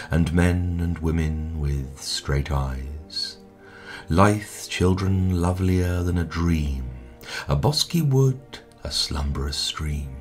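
A man giving a dramatic poetry recitation in a measured, expressive way, with hushed line endings. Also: rumbling low. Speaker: poetry reader. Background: music.

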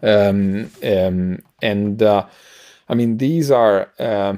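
A middle-aged man co-hosting a casual news discussion over a video call, speaking haltingly with frequent pauses. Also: fairly low pitch, mellow. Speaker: podcast co-host. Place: home room, indoors.

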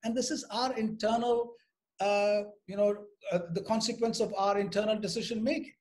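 An older man with a somewhat high voice speaks as a panelist on a video call. His delivery is formal and measured, with carefully articulated points. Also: fairly even intonation, darkish tone. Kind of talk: panel discussion remarks. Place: indoors at home, on a group video call.

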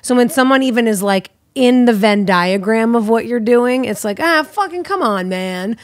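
A woman in her thirties, a podcast guest, chatting in a lively, teasing way, seated in a living room at a boom-arm studio mic. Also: moderate pace, dry recording. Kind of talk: casual chat. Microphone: studio mic on boom arm, headphones.

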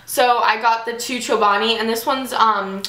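Chatty bright young woman vlogging. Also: on the high side, steady tone, moderate pace. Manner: chatty, upbeat, lots of "like". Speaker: fitness vlogger. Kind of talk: casual grocery haul vlog. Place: small bedroom, indoors. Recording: echoey room.

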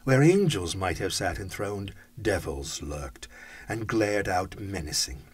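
A man's voice: sits low, animated, slow, slightly rough, no echo. A man reading a classic story aloud, with dramatic, rhythmic phrasing. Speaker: audiobook reader. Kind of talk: expressive storybook narration.

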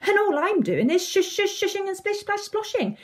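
A woman reading a children's storybook, voicing an excitable, inventive little girl in an eager, exclaiming manner. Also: sits high, moderate pace, voice pushed up.

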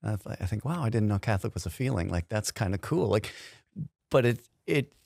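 A man's voice: fairly low pitch, animated, fairly quick, gravelly, a little mellow. A man in his fifties hosting a podcast in a casual conversational style, acting out imagined quotes. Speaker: podcast host. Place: small indoor podcast studio. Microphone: close studio mic on stand.

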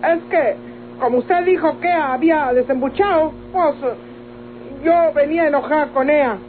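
A woman's voice: high voice, moderate pace, close to an everyday voice. A woman playing a naive, indignant young village woman in a Mexican radio soap opera, with a Mexican accent and plaintive, rustic speech. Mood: aggrieved. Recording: tinny old radio recording, faint noise.

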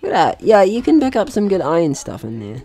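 Animated man doing playful game commentary. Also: high-pitched, a little fast. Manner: playful, swearing, talks to game characters. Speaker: gaming host. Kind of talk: casual let's-play commentary.

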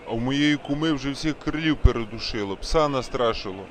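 A man voicing a gruff, grumbling market regular in a cartoon, with a western Ukrainian accent and colloquial delivery. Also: medium pitch, brisk, lightly acted. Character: grumbling, world-weary bazaar regular. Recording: noisy outdoor street recording.

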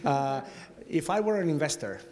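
A man's voice: a little high, expressive, normal speed. A man in the audience asking a provocative, playful question at a talk, acting out hypothetical scenarios. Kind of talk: conference Q&A. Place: gallery lecture room.

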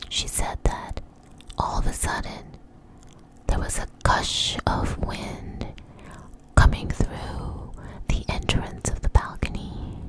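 A woman telling a story in a soft, breathy ASMR whisper, close to the mic, in a conversational, hesitant way. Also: rough recording.

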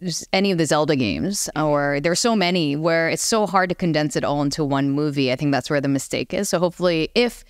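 Smooth, lowish-voiced woman in casual chat. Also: brisk, few pauses, no room sound, studio quality. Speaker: podcast co-host.

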